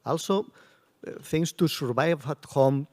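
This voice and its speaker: A man speaking as a security panelist, halting and searching for words, with non-native phrasing. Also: a little high, darkish tone. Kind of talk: conference panel answer. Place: conference panel stage.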